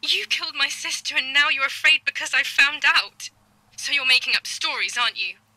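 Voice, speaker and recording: A woman's voice: high-pitched, normal speed, no echo, rough recording. A woman playing an angry, accusing young daughter in a dramatic video game scene. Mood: accusing, demanding. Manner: accusing, forceful. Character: young Italian daughter, furious, armed and accusing.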